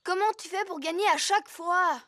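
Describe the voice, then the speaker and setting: A woman's voice: very high voice, brisk, bright, forceful tone, no room sound. A woman playing a cocky, competitive young boy squirrel in a French children's cartoon, boastful and childlike.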